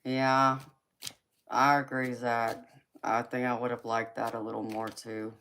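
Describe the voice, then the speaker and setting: Slow-talking Southern woman, livestream chat. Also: very deep voice, level tone, fairly bright tone, a little hiss or hum. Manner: chatty, laughs often, reads out and answers viewer comments. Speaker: tarot reader and livestream host. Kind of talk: casual livestream chat with viewers.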